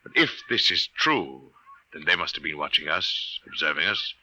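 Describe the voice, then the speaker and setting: A man's voice: extremely low pitch, very animated, moderate pace, sharp tone, no echo, heavily acted, low-quality recording. An actor in a 1950s radio drama playing a formal, precise, deadly serious professorial man. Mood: intense, earnest. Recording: vintage 1950s radio recording.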